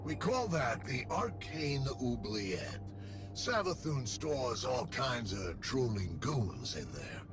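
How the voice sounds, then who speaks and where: Snarky robot sidekick for a game, sardonic, pitch all over the place, music behind. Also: male voice, takes it slowly. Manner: sardonic, casual, animated. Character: wisecracking male machine companion, irreverent, snarky. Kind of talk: video game character dialogue.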